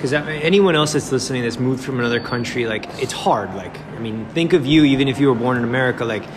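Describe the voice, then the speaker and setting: A man's voice: medium pitch, fast. A young man hosting a podcast interview, eager and chatty, calling his guest bro and man. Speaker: podcast host. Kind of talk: casual interview chat. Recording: background noise.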